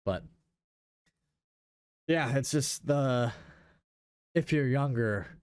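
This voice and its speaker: A man's voice: mid-range voice, drawn-out words, clean tone, dry recording, studio quality. A young man in casual gaming chat, speaking in a slangy, enthusiastic way. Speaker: podcast host.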